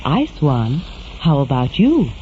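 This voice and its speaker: A woman's voice: sits low, big pitch swings, slow pace. Wildly swooping woman, vintage radio ad. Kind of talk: 1940s radio soap commercial. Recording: muffled, noisy vintage radio recording.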